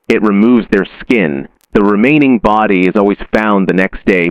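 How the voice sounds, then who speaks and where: Low-voiced man narrating analog horror. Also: clean tone. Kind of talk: scripted analog horror narration, documentary report. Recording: dull lo-fi sound with room echo.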